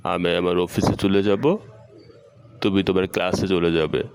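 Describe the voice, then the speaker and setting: Deep-voiced cartoon dad, male actor, gentle and coaxing, noisy track with ambient birdsong. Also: fairly even intonation, moderate pace. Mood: gentle, caring. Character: caring, gentle middle-aged father of a schoolboy. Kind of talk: amateur educational cartoon dialogue.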